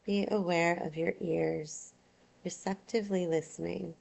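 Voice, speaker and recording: A woman teaching yoga nidra, guiding a relaxation meditation in a soothing, unhurried, gentle way. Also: on the low side, steady tone, gravelly, low-quality recording.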